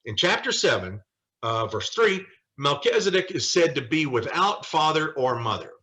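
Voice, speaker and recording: An American man leading a Bible study in an informal, conversational way, pausing often as he explains and adding emphatic asides. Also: on the high side, sing-song, a bit bright. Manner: conversational, halting, with frequent pauses and emphatic asides. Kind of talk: informal teaching with quoted scripture and scholars.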